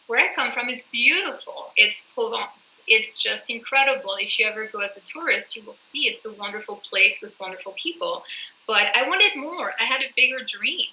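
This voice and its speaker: Bright, emphatic woman. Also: fairly high pitch, lively intonation, moderate pace, echoey room, a little hiss or hum, poor audio. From France. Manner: earnest and emphatic. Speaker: guest coach and author. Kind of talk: conversational interview.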